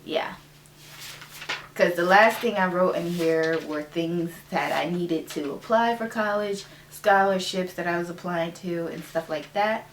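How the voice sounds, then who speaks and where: A woman's voice: fairly low pitch, unhurried, echoey room, a little hiss or hum. A woman in her twenties with a stuffed-up nose, chatting casually and upbeat as a vlogger showing her things. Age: 20s. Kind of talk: casual show-and-tell chat. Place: her bedroom.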